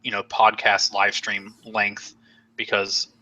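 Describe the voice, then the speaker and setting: A man's voice: on the low side, completely flat delivery, slow, sharp tone, rough recording. A young man hosting a casual live stream chat, rambling and joking with many fillers while losing his voice. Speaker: host.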